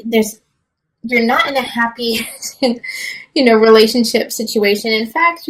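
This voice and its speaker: Female tarot reader, higher-pitched, conversational and encouraging, emphatic when advising the listener. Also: poor audio. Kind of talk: conversational tarot reading with advice.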